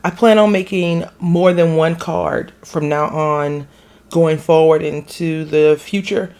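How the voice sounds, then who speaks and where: Woman craft host, hoarse and raspy, lowish gravelly voice, chatty and rambling. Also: steady tone, takes it slowly, darkish tone. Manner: chatty and rambling, self-correcting. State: recovering from illness, hoarse. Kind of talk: casual chat while stamping a card.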